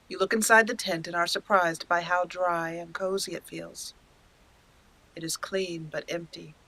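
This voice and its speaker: Bright-voiced woman narrating a roleplay playthrough. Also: fairly low pitch, poor audio. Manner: expressive storyteller, acting out lines. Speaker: let's play host and narrator. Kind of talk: roleplay storytelling over gameplay.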